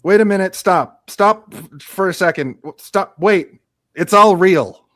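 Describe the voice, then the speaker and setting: A man's voice: high-pitched, a little slow, fairly bright tone. A man playing a confident actor-commander in trouble in a live radio play, speaking directly and with urgency. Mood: pressing.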